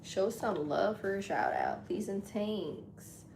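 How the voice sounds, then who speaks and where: A woman live streamer with a deep voice, chatting casually with her viewers while she sets up a game. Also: wildly swooping pitch, slow pace, vocal fry. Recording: echoey room with loud background noise.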